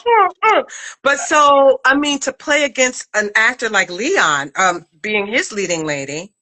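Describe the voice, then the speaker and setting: Woman, bright voice, casual interviewer, expressive, emphatic, slangy phrasing. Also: a little high, measured pace, rough recording. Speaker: interviewer, writer-director and acting teacher.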